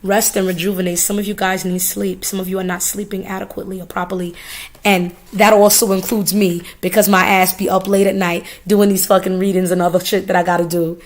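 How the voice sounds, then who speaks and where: Hoarse lady doing a chill oracle card reading, swears a lot, says things twice. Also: mid-range voice, little pitch movement, fairly quick. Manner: casual and profane, repeats phrases. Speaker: spiritual reader.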